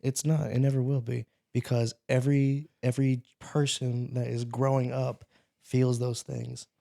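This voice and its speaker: Gravelly-voiced man in his twenties. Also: mid-range voice, flat delivery, a little slow, dark tone. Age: 20s. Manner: playful, self-promoting. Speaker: podcast co-host. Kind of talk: casual chat.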